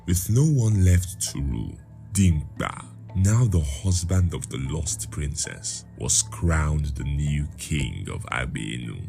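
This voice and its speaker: A man narrating a folktale as a scripted story, in a measured, dramatic manner. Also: extremely low pitch, animated, vocal fry, mellow, faint music behind.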